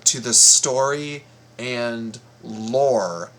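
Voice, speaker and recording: A man hosting a reaction video, commenting casually in a halting, stammering way and repeating his words. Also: medium pitch, fairly bright tone.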